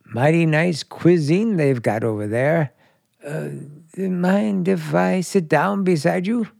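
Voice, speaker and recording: A folksy, forward hotelkeeper in a radio drama. He talks slowly in a creaky, very lively voice, casual and flirtatious. The sound is close and dry. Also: on the high side, no echo, heavily acted. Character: folksy, forward middle-aged hotelkeeper, a flirtatious male stranger. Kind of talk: radio play acting.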